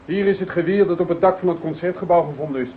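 A man's voice: high-pitched, fast, natural voice. An actor playing a polite, apologetic, formal junior policeman in a radio drama. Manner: deferential, formal. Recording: muffled, noisy old radio recording.

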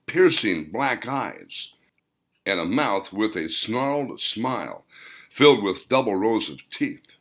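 Deep-voiced man narrating slowly and expressively. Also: fairly bright tone. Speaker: author reading own novel, narrator. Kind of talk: scripted audiobook narration.

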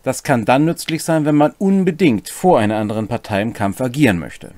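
A man's voice: medium pitch, lively intonation, fairly quick, darkish tone, no room sound. A middle-aged man co-hosting a semi-scripted explainer of tabletop game rules, with casual asides, in a room at home. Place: small home room, indoors.

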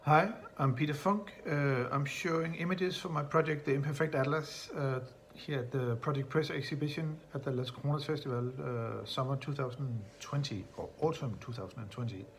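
A middle-aged man presenting his photo project on camera from a bright room at home, casual and halting, with many fillers and slightly non-native phrasing. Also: mid-range voice, a little hiss or hum. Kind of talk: recorded talk to camera.

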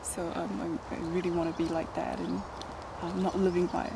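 A young woman from Taiwan, raised in New Zealand, answering interview questions hesitantly, with many pauses, on a handheld mic outdoors. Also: lowish voice, level tone, creaky, background noise. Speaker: student guest. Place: outdoors in a garden by a stone building.

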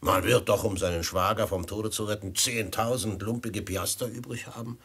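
An actor playing a mockingly polite bandit extortionist in a 1950s radio drama, with husky voice and theatrical emphasis. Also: male voice, fairly low pitch, steady tone, normal speed, a bit bright. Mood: mocking, demanding. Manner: mock-courteous, oily, theatrical emphasis. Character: smooth-talking bandit extortionist, mockingly polite adult man.

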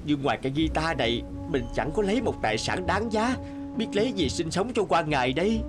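A man acts out a poor, patient guitar player turned fisherman from a fairy-tale cartoon. The voice is a little high and expressive, given to exclamations. Music runs clearly underneath. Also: fairly quick, lightly acted. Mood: glum.

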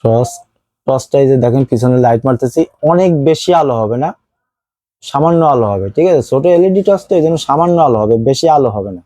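A man's voice: medium pitch, expressive, quick pace, mellow, no room sound, studio quality. A man with a Bangladeshi accent giving a casual phone unboxing review as a seller, explaining things and repeating his points.

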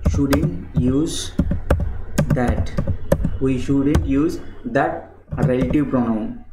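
Slow, repetitive young male grammar teacher. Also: mid-range voice. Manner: slow and repetitive, often saying "friends" to the viewers. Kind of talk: slow explanatory screen-share lesson. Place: small indoor room. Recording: echoey room with clear background noise. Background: keyboard clicks and typing.

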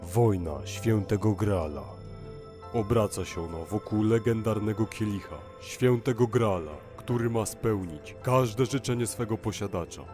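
A man playing a grave, knowing narrator who gives measured, solemn exposition in an anime fan dub. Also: fairly low pitch, music in the background, close to an everyday voice. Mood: grave, explanatory. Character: grave, knowing male narrator of a legendary war.

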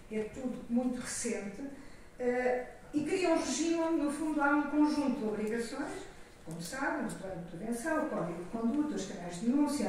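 An older woman with a European Portuguese accent gives a semi-improvised conference opening speech from an auditorium stage, halting and often correcting herself as she digresses. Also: on the high side, darkish tone. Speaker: organisation president giving an opening address. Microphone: podium microphone, recorded from the audience. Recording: echoey hall from far off, noisy.

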